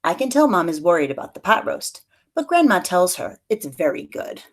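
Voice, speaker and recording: A woman with a slightly low voice reads a picture book aloud. She tells the story expressively, as a teacher would to young pupils, lightly acting out the dialogue. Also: big pitch swings, moderate pace.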